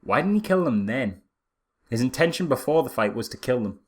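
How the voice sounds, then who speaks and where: Male podcast co-host, average pitch, chatting casually about film with dry sarcasm and disbelief. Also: very animated, fairly quick. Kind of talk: casual film review chat.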